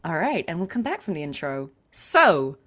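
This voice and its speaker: A woman's voice: on the low side, very animated, moderate pace, loud music behind the voice. A woman hosting a show, chatting casually as she interviews a guest.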